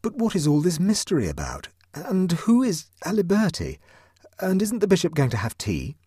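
A man voicing a flustered, indignant middle-aged gentleman who gasps and protests in a high, panicky voice. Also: huge pitch swings, normal speed, husky, no room sound, clean studio sound, heavily acted. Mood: indignant, panicked. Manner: high-pitched, gasping and panicky.

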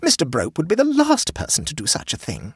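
A man's voice: mid-range voice, wildly swooping pitch, moderate pace, breathy edge, dry recording, clean studio sound, voice pushed up, exaggerated voice. A man reading an audiobook, voicing a fussy, old-fashioned society hostess who is flustered and eager to please. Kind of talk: audiobook character voice.

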